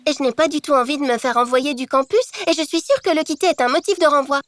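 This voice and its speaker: Une femme en fillette posée, voix très perchée. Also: female voice, high-pitched, quick pace, no room sound, close to an everyday voice. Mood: thoughtful. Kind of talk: children's cartoon dubbing.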